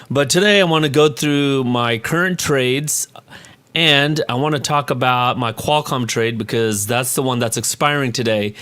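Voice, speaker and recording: A man's voice: medium pitch, a bit bright. Chatty man talking through his trades. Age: adult with a child. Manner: chatty, self-correcting, thinks aloud with numbers. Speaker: trading vlogger. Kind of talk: casual screen-share talk on options trades.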